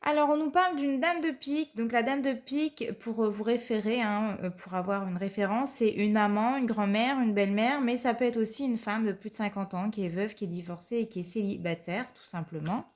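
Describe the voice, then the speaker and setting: A woman's voice: fairly high pitch, brisk, hardly any pauses. A woman with a cold giving a weekly card reading to her viewers, hesitant and full of fillers. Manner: hesitant, many fillers, repeats "tout simplement". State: has a cold. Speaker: card reader.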